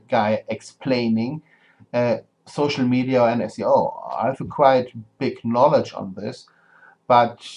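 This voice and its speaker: A man with a German accent records a video question. His English is careful and a little hesitant, and his voice sits in a middle pitch. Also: a little mellow, low-quality recording. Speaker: viewer asking a question.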